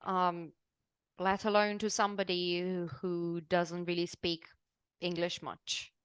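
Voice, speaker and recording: A woman's voice: lowish voice, little pitch movement, slow pace, clear, resonant tone, no room sound. A woman from Serbia, an English teacher, chatting casually and laughing often. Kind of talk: casual chat.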